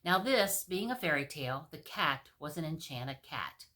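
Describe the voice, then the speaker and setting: A woman reading a comic fairy tale aloud as a storyteller, with expressive delivery and playful asides. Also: sits low, moderate pace.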